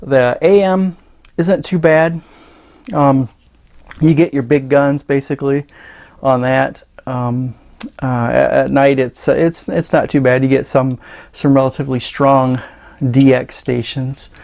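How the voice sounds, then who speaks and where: A man gives a casual hands-on product demo. His mid-pitched voice has a gravelly fry to it. He speaks in a halting, folksy, understated way. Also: steady tone, a little mellow. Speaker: radio gadget reviewer.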